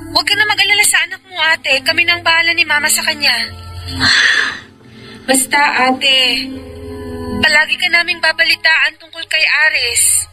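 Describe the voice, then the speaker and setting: An actress playing a caring, frank younger sister in a radio drama, earnest and plain-spoken, with a Filipino accent. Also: female voice, very high voice, moderate pace, pauses often, bright, forceful tone, soft background music, exaggerated voice. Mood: reproachful, concerned.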